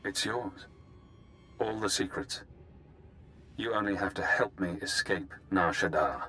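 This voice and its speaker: An actor playing a coolly persuasive, calculating escaped prisoner in a video game, speaking in a measured, formal way. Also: male voice, low voice, pushed and bright, soft background music, exaggerated voice. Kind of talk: video game dramatic acting.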